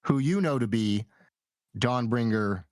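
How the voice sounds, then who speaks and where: A man's voice: mid-range voice, unhurried, darkish tone, dry recording, studio quality. A man acting as game master, narrating and refereeing a tabletop role-playing game.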